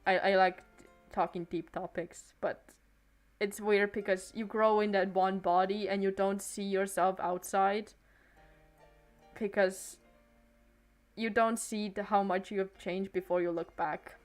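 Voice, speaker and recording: A teenage girl talks loosely into a desk mic at home, her thoughts stopping and starting as she circles back on herself. Her voice sits at an ordinary middle pitch for a young woman. Faint music plays quietly underneath. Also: steady tone, measured pace. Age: late teens. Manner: hesitant, many restarts and trailing thoughts. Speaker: streamer. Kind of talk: casual rambling stream chat. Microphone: desk microphone.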